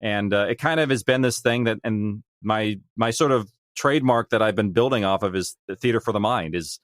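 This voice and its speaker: A man's voice: on the low side, a little fast, no room sound, clean studio sound. A man speaking as a podcast guest about his creative work, earnest, reflective and discursive. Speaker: audio drama creator, interview guest. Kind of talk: reflective podcast interview talk.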